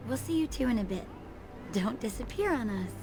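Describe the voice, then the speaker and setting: A friendly young elf in a fantasy game. Her voice is fairly high and very animated, light in manner, with prominent music behind. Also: a little fast. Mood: light, reassuring. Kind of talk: fantasy video game dialogue.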